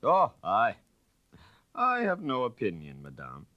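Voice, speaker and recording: A man voicing a suave, self-assured gentleman detective in an audiobook story, calm, precise and dogmatic. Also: medium pitch, slow, no echo, highs cut off, pitched above the performer's own voice. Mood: modest, musing.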